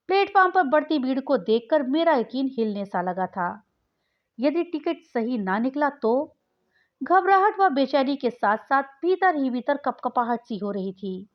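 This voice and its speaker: A woman reads a short story as its narrator, in a high voice. Her intonation swings widely as she dramatizes the telling. The recording is a little dull and lo-fi. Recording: dull, lo-fi sound.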